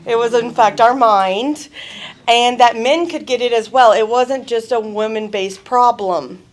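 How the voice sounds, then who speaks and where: A woman giving a college presentation, telling a story in a lively, chatty way. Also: a little high, a little slow. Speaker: student presenter. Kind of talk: class presentation. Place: college event room.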